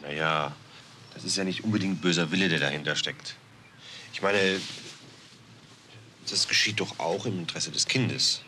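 An actor playing a calm official who speaks in a measured, formal way. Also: male voice, low voice. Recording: noticeable background noise.

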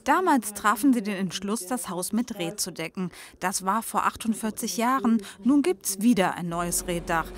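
A woman narrating a news report as a measured scripted voice-over. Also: mid-range voice, animated.

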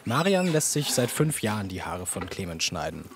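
Lively male report narrator. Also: deep voice, moderate pace, noisy background. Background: music bed.

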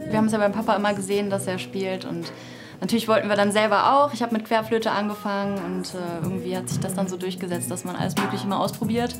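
A woman in her thirties talking quickly and chattily in an interview. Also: mid-range voice, steady tone, loud music behind the voice. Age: 30s.

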